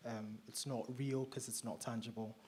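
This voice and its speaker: Measured man from Ghana, reflective. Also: medium pitch, steady tone, a little mellow. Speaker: panel speaker. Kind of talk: reflective panel talk.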